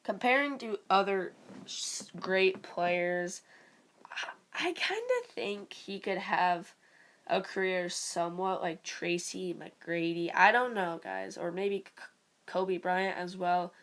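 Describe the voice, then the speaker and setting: A woman with a raspy voice and a stuffy nose, giving rambling, casual sports commentary for her video channel. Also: on the low side, slow, fairly bright tone. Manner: rambling, full of "like" and "you know". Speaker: video creator.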